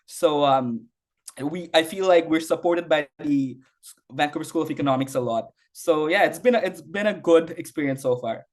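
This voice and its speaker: Young man from Bangladesh, slightly high voice, rambling, lots of "like"s and restarts. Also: normal speed. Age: young adult. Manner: rambling, heavy use of "like", frequent restarts. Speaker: interview guest, university student. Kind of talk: casual interview answers.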